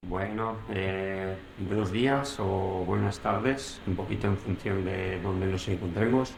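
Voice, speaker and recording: Man, Spain accent, podcast host, hesitant, very deep voice, faint background ambience. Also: slow, creaky, reverberant, a little hiss or hum, low-quality recording. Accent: Spain Spanish. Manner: hesitant, lots of fillers. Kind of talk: interview questions.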